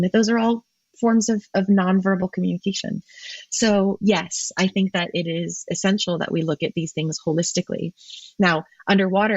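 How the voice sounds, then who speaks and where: A woman's voice: on the low side, slightly rough, no room sound, highs cut off. A woman, a marine ecologist, answering interview questions in a thoughtful way with frequent ums and restarts.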